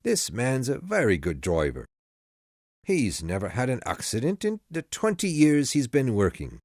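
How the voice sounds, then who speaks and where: A man's voice: medium pitch, huge pitch swings, normal speed, a little mellow, no echo, studio quality, voice pushed up. A man reading a story aloud voices a friendly policeman reassuring a woman.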